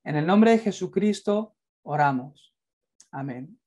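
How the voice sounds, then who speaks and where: A man's voice: a little high, lively intonation, slow pace, a little mellow. A young man with a Castilian Spanish accent giving an earnest, fervent sermon and closing prayer, speaking to a camera indoors. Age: young adult. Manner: earnest, fervent, builds to emphatic peaks. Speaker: preacher. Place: indoors, in front of a plain light wall.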